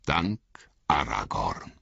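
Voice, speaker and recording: A man puts on an old wizard, grave and gentle, in a storybook reading. The voice is deep, husky and unhurried, yet it rises and falls widely. The recording sounds a little dull and lo-fi. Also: sing-song, no room sound, heavily acted. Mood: grave, kindly.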